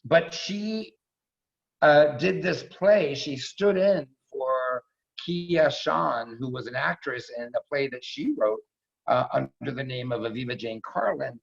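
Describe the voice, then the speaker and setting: A man's voice: medium pitch, slow, creaky. A middle-aged man casually introducing performers as host of a staged reading over a video call, effusive and repeating himself for emphasis. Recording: dull video call audio.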